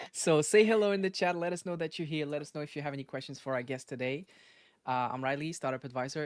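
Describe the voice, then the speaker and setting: A man's voice: medium pitch, clean tone, no room sound, studio quality. A man from North Africa and France hosting a casual live interview, enthusiastic and repeating phrases for emphasis. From born in North Africa, grew up in France. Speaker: live show host, startup advisor. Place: live online stream.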